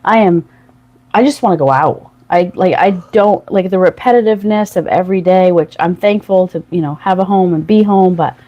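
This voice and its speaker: A woman's voice: on the low side, fairly quick, highs cut off. A woman co-hosts a casual morning chat in an easygoing way. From Maine, United States.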